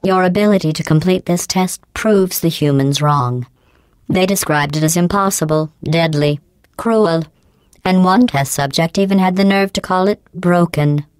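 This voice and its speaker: A woman voicing a cold, sarcastic female AI that runs test chambers, delivering mocking insults in a clipped, deadpan game voice. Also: on the low side, normal speed, clear, resonant tone, no room sound, natural voice. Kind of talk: video game voice clips.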